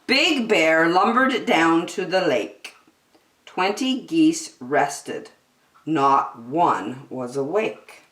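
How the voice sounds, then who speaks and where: Slow, animated woman reading a storybook. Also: low-pitched. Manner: expressive, deliberate storytelling. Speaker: children's story reader. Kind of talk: storybook read-aloud. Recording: roomy, echoing sound.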